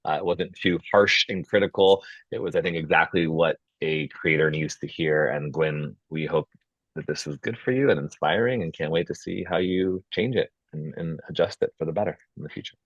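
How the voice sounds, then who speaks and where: A man's voice: a bass voice, moderate pace, dry recording. A man hosting an online group call in a casual, encouraging and informal way, often dropping "like" into his sentences. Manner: encouraging, informal, peppers talk with "like". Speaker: host of an online filmmakers' meetup. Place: video call. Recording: dull lo-fi video-call audio.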